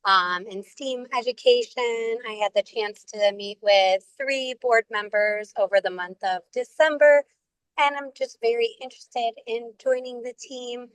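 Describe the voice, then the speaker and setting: A woman, hoarse from the flu, earnestly introducing herself as a board candidate on a video call. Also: on the high side, steady tone, unhurried, dry recording, rough recording.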